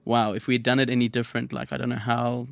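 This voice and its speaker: A man's voice: mid-range voice, flat delivery, fast talker, creaky, no echo. A man from South Africa, a film director, talking reflectively in a casual podcast interview. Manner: reflective, many fillers.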